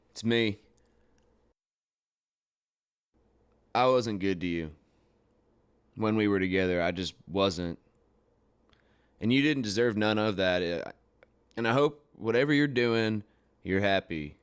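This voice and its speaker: An actor playing a weary, guarded rodeo rider, in halting, plain-spoken radio drama style. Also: male voice, lowish voice, lively intonation, smooth, no room sound, clean studio sound. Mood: apologetic, earnest. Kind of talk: naturalistic radio drama acting.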